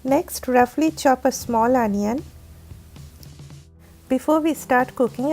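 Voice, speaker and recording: Hoarse woman narrating a cooking recipe. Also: a little high, warm tone. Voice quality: raspy, heavy voice. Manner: careful, step-by-step instructing. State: hoarse from a flu. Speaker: cooking channel host.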